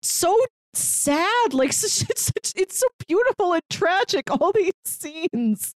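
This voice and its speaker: A woman co-hosting a review podcast, chatting casually and in an animated way about a film. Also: extremely high pitch, slow pace, no echo.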